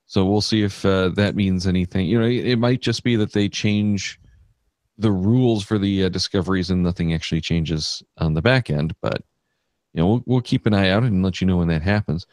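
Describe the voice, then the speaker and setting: A man's voice: on the low side, a little mellow, dry recording, clean studio sound. A man hosting a podcast in casual chat, talking loosely with many fillers and restarts. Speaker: podcast host.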